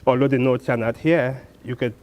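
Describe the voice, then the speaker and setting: A man from Trinidad and Tobago, a steel pan player answering interview questions in a halting way, restarting and repeating words. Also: mid-range voice, steady tone, darkish tone. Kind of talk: interview guest.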